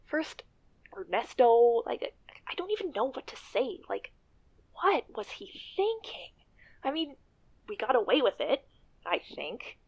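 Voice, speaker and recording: A woman's voice: on the high side, very animated, unhurried, long pauses, slightly rough, heavily acted. A woman playing an outspoken, ambitious female activist leader in a naturalistic radio play, on a dull recording. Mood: weary, exasperated.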